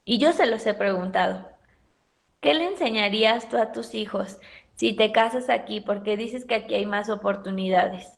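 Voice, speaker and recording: A Mexican woman teacher lecturing her students, often pausing to ask them questions. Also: mid-range voice, gravelly. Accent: Mexican Spanish. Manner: questioning the class, thoughtful pauses. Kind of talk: university pedagogy lecture.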